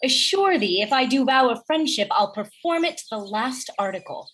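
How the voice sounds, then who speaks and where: A woman playing an earnest, affectionate, persistent noble wife in a Shakespearean radio play, expressive and pleading. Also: sits high, moderate pace. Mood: reassuring, earnest.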